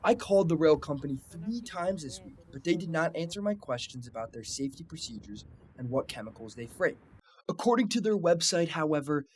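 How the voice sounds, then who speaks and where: Young guy doing a tv news report, voice a bit high, faint noise behind him. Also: lively intonation, brisk. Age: young adult. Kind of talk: scripted broadcast news narration.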